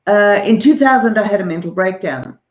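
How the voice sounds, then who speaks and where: A woman from Zimbabwe and South Africa with a South African accent, a guest answering interview questions in a relaxed, thoughtful way with many hesitations. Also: mid-range voice, brisk. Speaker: artist and author, interview guest. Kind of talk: casual video-call interview. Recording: dull lo-fi video call in a roomy space.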